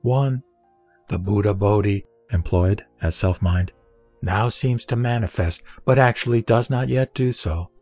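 A man reads Buddhist scripture as a scripted recitation, in measured, deliberate short phrases. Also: fairly low pitch, a little mellow. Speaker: sutra reciter. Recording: music bed behind voice. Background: background music.

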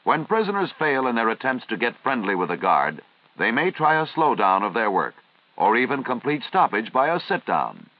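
An American man reading formal, measured, instructional narration in the style of a 1950s military training film. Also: mid-range voice, huge pitch swings, no echo. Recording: dull, band-limited vintage film soundtrack.

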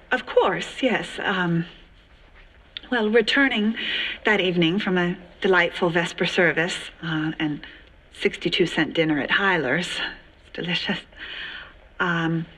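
A woman's voice: fairly low pitch, unhurried, sharp tone, rough recording. A woman in her thirties playing a prim, fussy 1930s lady giving hesitant, precise testimony. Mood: prim, flustered. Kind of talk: dramatized interview.

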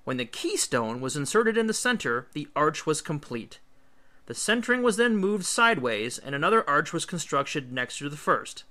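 A man's voice: a little high, very animated, normal speed, sharp tone. A male teacher reading an illustrated history book aloud, with emphatic, instructional phrasing.

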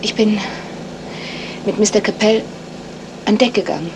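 A guarded lady witness acted by a woman in a vintage German radio drama. She has a mid-pitched voice and gives terse, reserved answers. Clear noise runs through the recording. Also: measured pace, close to an everyday voice. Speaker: actress in a crime radio play.

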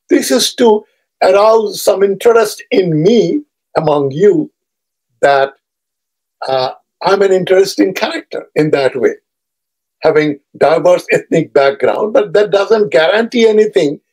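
Husky older man, pitched fairly high. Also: a little mellow, low-quality recording. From Bangladesh. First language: Urdu. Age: 70s. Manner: measured, discursive, pausing often with "uh". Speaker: historian vlogger. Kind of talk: personal introduction lecture. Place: home study lined with bookshelves. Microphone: webcam at desk, seated medium shot.

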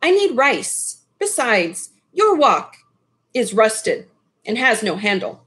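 An earnest young boy from a storybook, read by a woman, plain-spoken and eager. The voice is high, with lively rises and falls. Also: takes it slowly, full character voice. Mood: refusing.

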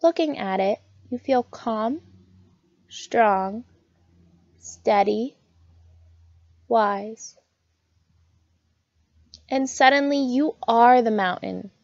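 A woman narrating a scripted guided meditation in a soothing, gentle, unhurried manner. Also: a little high, dry recording, lo-fi sound.

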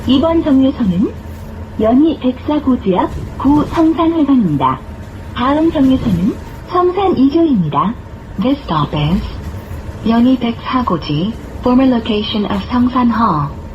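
A woman reading scripted bus stop announcements in a measured, formal manner. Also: a little high, big pitch swings. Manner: measured, formal, clearly phrased. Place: played over speakers inside a moving bus. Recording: muffled recording with clear vehicle noise. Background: bus engine and road noise.

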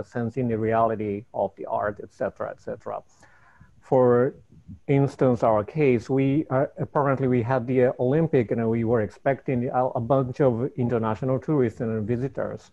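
A middle-aged man with a Japanese accent speaks informally on a panel video call, halting as he searches for words. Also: mid-range voice, warm tone. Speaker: architect, panelist. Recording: slightly dull video-call audio.

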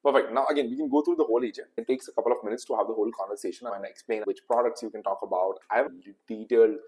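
A young man with an Indian English accent hosting a casual tutorial, talking quickly and loosely with lots of fillers. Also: mid-range voice, steady tone, a little mellow, low-quality recording. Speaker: tutorial host. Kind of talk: casual screen-recorded tutorial. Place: indoor room.

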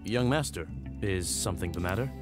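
An actor playing a dutiful, sensible knight retainer in a fantasy game, speaking formally and courteously with a dry manner. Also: male voice, low-pitched, lively intonation, a little fast, music in the background. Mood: reassuring. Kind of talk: fantasy video game voice acting.

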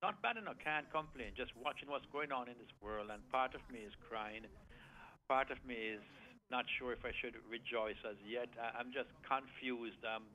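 Forceful Jamaican man on a phone line. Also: mid-range voice, moderate pace. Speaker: attorney, phone guest. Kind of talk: radio phone-in chat.

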